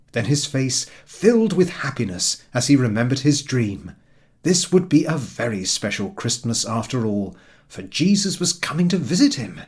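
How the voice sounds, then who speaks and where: A man narrating a scripted storybook tale with expressive, deliberate phrasing. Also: medium pitch, slightly rough.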